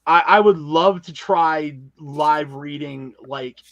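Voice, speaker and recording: A man's voice: on the high side, takes it slowly, dry recording, muffled recording. A man chatting casually as a podcast guest about his creative work, speaking emphatically with slangy wording and repeated phrases. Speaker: guest, audio drama creator and narrator. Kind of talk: casual podcast interview chat.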